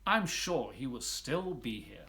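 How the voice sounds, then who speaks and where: A man's voice: mid-range voice, very animated, a little slow, creaky, pushed and bright, full character voice. Man reading as a caring, practical mother. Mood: consoling.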